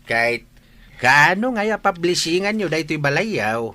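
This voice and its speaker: Hearty old Ilocano father, radio drama; hugely swooping pitch, bright forceful tone. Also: very animated, normal speed. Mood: delighted, advising. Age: older. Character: old village father, hearty, pleased, advice-giving.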